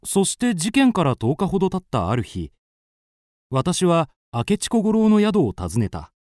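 Earnest amateur sleuth, highly animated. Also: male voice, no room sound, clean studio sound. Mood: tense narration. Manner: deliberate, dramatic, polite. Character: earnest young male amateur sleuth, confidently accusing his friend. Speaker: narrator and lead character. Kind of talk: radio drama acting and narration.

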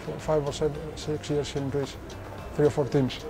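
A man interviewing a basketball player, asking long questions with frequent hesitant pauses. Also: a little high, little pitch movement, music in the background. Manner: hesitant, many filler pauses.

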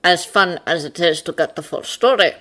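A woman's voice: lowish voice, moderate pace, bright, forceful tone. Storybook bird spirit, male, read by a woman: stately, courteous, intoned formal lines. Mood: regal, courteous.

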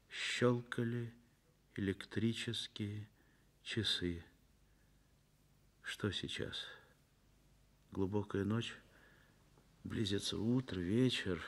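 A man narrating a radio drama in a measured, lyrical literary style. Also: fairly low pitch.

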